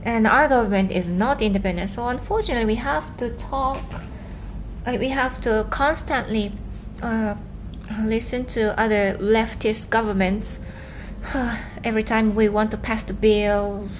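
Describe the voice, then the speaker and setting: A woman from Japan with a Japanese accent, chatting casually on a live stream from home, talking fast and earnestly with halting restarts as she reads and answers viewer comments. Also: on the high side, slight background noise, rough recording.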